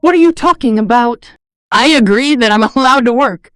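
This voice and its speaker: A woman's voice: a little high, normal speed, a bit bright, no echo, lightly acted. A woman voicing a hardworking, dutiful young married woman, weary but patient, speaking in an earnest, pleading way.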